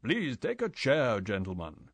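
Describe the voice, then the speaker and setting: A man's voice: medium pitch, wildly swooping pitch, measured pace, no echo, heavily acted. A man voicing a suave yacht owner who speaks in a polite, formal and courteous way. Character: suave male yacht owner, smooth host.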